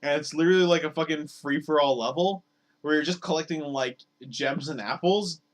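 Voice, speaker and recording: Slightly hoarse man streaming, rambling chat. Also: a little high, brisk. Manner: rambling, filler-heavy, repeats words. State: slightly hoarse, losing his voice. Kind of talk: casual live-stream chat over gameplay.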